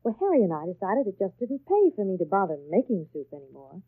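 Chatty housewife, tinny old radio. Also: female voice, medium pitch, sing-song, quick pace, husky, dry recording. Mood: breezy, persuasive. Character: chatty confident housewife in a soup commercial. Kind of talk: 1930s radio ad dialogue. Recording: dry, tinny 1930s radio broadcast.

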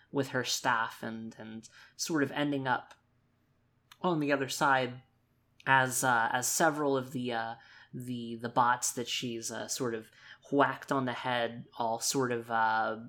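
A man's voice: mid-range voice, pushed and bright. A man improvising narration for his character in a tabletop roleplaying game, hesitant and loosely worded as he thinks aloud.

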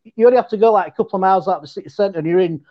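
Casting: man with a West Yorkshire accent, high voice, chatty guest, dull lo-fi call audio. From West Yorkshire, England. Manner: chatty, stumbles and restarts, quick bursts. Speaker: podcast guest. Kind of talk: casual chat.

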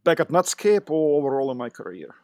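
A middle-aged man from Russia with a Russian accent, a podcast guest telling his career story with many pauses and fillers. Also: fairly high pitch, big pitch swings, no room sound, clean studio sound. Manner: hesitant, many fillers and restarts. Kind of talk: interview conversation.

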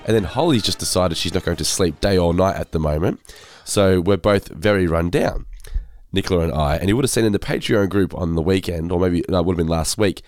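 A man co-hosting a casual podcast, his voice congested and hoarse from a head cold, talking in a weary, self-deprecating way. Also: low-pitched, a little fast, darkish tone, dry recording. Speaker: podcast co-host.

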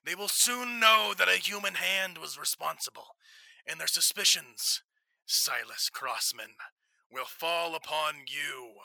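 A young man playing a stern, invisible crime-fighting investigator in classic radio drama style, measured, formal and ominous. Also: a little high, creaky, sharp tone, no room sound, heavily acted, rough recording. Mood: quiet menace. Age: young adult.

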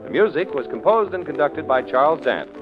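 A man's voice: fairly high pitch, sing-song, fairly quick. An American man announcing and reading scripted sponsor copy in 1940s radio style. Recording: muffled old radio recording, music behind.

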